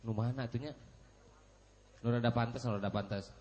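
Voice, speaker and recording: A man's voice: mid-range voice, steady tone, moderate pace, muffled recording. A man with a Sundanese accent doing teasing, colloquial, exasperated comedy banter. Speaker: comedy performer.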